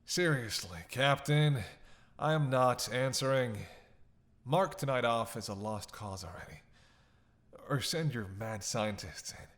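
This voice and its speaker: A male actor plays a captive vampire in an audio drama, with a medium-pitched voice and a slow, theatrical drawl. He is playful and defiant, teasing and flirting with heavy sarcasm. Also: fairly bright tone, close to an everyday voice. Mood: bored, taunting. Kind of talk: roleplay audio drama acting.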